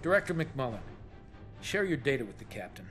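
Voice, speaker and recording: Stern military superior, mid-pitched, clipped, authoritative, game cutscene with music. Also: male voice, big pitch swings, fairly quick, a bit bright. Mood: stern, commanding. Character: cold, commanding male military superior. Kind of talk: scripted video game dialogue.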